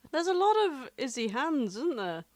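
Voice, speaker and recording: A woman's voice: sits high, sing-song, normal speed, no echo. Wry woman in casual podcast banter. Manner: wry, jokey. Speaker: podcast co-host. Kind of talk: casual comedic chat.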